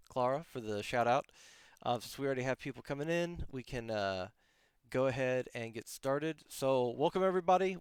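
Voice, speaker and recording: A man's voice: mid-range voice, clear, resonant tone, no echo, clean studio sound. A man hosting a live-streamed podcast interview, rambling casually with many restarts and frequent laughs. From outside Germany. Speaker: podcast host.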